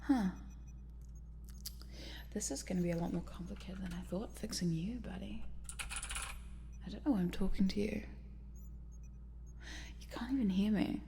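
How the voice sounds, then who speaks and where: A woman playing a quirky, lonely scientist in a whispered ASMR roleplay, softly muttering to herself as she tinkers. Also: mid-range voice, normal speed, gravelly, long pauses, slight background noise, natural voice. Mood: focused, puzzled. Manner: soft, hesitant, muttering to herself. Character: quirky lonely female scientist repairing a robot in her lab.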